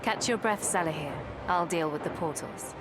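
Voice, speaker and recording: Woman playing young warrior heroine, determined, wry, weary, video game acting, loud music behind. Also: fairly low pitch, moderate pace, natural voice, poor audio.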